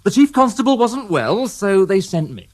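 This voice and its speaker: A wry, weary detective inspector. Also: male voice, sits high, big pitch swings, moderate pace. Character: dry, sardonic male detective inspector, middle-aged. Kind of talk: radio drama acting.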